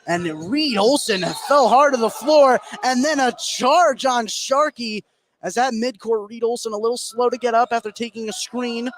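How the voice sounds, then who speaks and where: A man doing live play-by-play basketball commentary on radio, getting excited on big plays. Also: very high-pitched, moderate pace. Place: indoor school basketball gym. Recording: noisy gym ambience behind.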